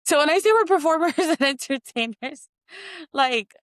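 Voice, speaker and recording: A woman's voice: sits high, expressive, moderate pace, fairly bright tone, dry recording, studio quality. A woman in her thirties from Hawaii and American Samoa, sharing personal stories casually and expressively as an interview guest. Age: 30s. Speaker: interview guest, content creator and performer.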